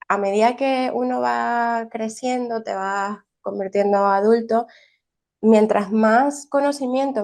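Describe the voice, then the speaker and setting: Thoughtful woman teacher, slightly high voice. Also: mellow. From Venezuela. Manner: thoughtful, many restarts and tag questions. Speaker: early childhood teacher. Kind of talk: conversational interview answers.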